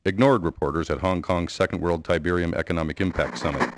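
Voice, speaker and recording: A man's voice: sits low, steady tone, fast. A man playing a solemn television news reporter giving a scripted report in a video game. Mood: formal. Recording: very noisy TV broadcast.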